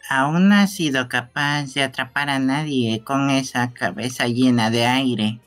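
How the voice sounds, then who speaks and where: A man's voice: mid-range voice, measured pace, a bit bright, soft background music, natural voice. A man voicing a scornful, possessive and menacing boy in an amateur video game dub. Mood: scornful, taunting. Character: taunting, possessive boy obsessed with coffins.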